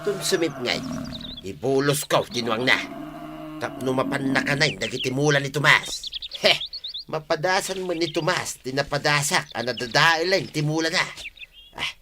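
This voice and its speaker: A man's voice: fairly high pitch, moderate pace, background noise, natural voice. A man with an Ilocano accent playing a stubborn, vengeful village farmer who grumbles, in a radio comedy drama. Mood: scheming. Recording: music behind the voice.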